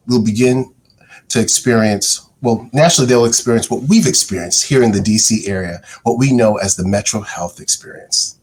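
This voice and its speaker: A man giving formal welcome remarks as a health center chief executive, with halting phrasing. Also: mid-range voice, breathy edge, poor audio.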